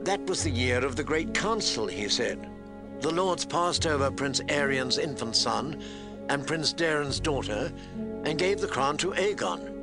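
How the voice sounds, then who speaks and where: A man reading a story aloud as an expressive audiobook narrator, with music behind him. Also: a little high, a little slow.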